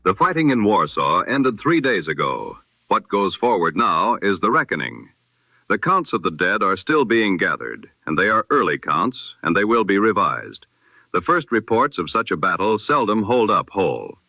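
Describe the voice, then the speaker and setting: Male announcer for a vintage 1920s-style radio bulletin: formal and solemn, lowish voice, dull lo-fi sound. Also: very animated, no echo. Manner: formal, measured, solemn delivery. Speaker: news announcer of an audio history broadcast.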